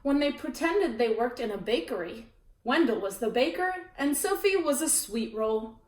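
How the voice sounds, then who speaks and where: A woman reading a children's picture book aloud as storyteller, expressive, with chatty asides to her listeners. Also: a little high, normal speed. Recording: roomy echo, distant mic.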